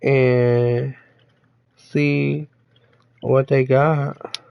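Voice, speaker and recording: A dull, close lo-fi recording of a man in casual conversation. His voice is mid-pitched and he talks slowly, explaining in loose, halting phrases. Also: little pitch movement, gravelly, smooth, dry recording. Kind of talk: casual chat.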